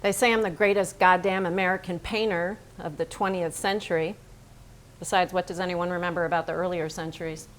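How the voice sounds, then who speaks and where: A woman acting in a staged reading as a blunt, self-assured American male painter, speaking in deliberate, declarative phrases. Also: lowish voice, fairly even intonation, close to an everyday voice. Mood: blunt, boastful. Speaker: stage actor.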